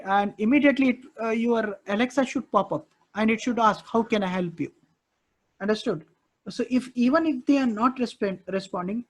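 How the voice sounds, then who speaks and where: A male instructor with an Indian English accent, walking students through a live technical class, often repeating himself and checking "okay, understood?". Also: sits high.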